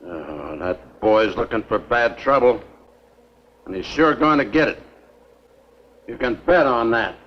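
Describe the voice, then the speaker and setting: Casting: surly male county sheriff, gruff, threatening, medium pitch, dull vintage film sound. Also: animated, measured pace, long pauses. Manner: gruff, bullying, sarcastic. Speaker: film actor. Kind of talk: 1950s B-movie screen acting. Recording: muffled, noisy 1950s film soundtrack.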